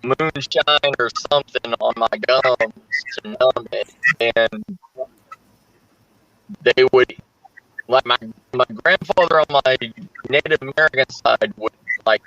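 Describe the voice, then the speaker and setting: A man chatting casually in a rambling, halting way, with lots of "like", heard over a video call mic. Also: mid-range voice, bright, forceful tone, no room sound. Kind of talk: casual chat. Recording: dull lo-fi dry audio.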